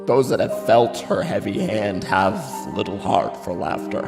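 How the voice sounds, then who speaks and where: Slow, echoing, sorrowful nobleman, acted by a man. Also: on the low side, gravelly. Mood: weary, wary. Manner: theatrical, lamenting. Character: grief-stricken, drunken king's brother, anxious and wavering. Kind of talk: classical tragedy monologue. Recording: long hall reverb, music underneath.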